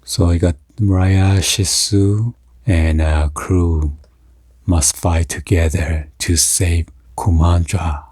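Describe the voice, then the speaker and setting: A man with a very low, soft-edged and dark voice hosts an ASMR unboxing. He speaks slowly and haltingly, murmuring short remarks item by item. Faint noise, clicks and crinkling packaging sit behind him. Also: steady tone, breathy edge, no echo. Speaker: unboxing video host.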